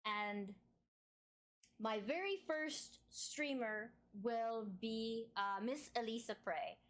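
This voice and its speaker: A woman hosting a casual live-streamed podcast interview. She talks in an effusive, chatty way with a big booming voice and laughs often. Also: slow pace, fairly bright tone.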